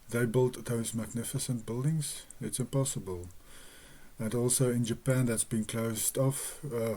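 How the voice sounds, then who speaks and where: Afrikaans-speaking guy, slow and earnest, kinda mellow voice, lots of restarts. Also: mid-range voice. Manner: earnest, measured, with hesitations and restarts. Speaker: religious video host. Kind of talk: slow personal teaching monologue.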